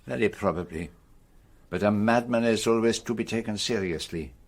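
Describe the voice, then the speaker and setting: An actor playing an ageing, vain Belgian gentleman detective with a French-speaking Belgian accent, precise and theatrical in a radio play. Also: fairly low pitch, moderate pace. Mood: musing. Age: older. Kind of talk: radio drama acting.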